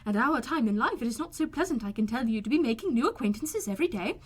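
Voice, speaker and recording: A woman voicing an excitable, fretful middle-aged mother in an audiobook, exclaiming and gushing, easily offended. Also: fairly high pitch, fast talker, husky. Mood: delighted, gushing. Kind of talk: audiobook character voice.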